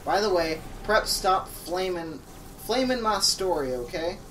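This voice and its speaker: Animated, mock-dramatic co-host, distant from the mic. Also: mid-range voice, unhurried, a little hiss or hum. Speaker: let's play co-host. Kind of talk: dramatic fan fiction reading with joking asides. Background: game music.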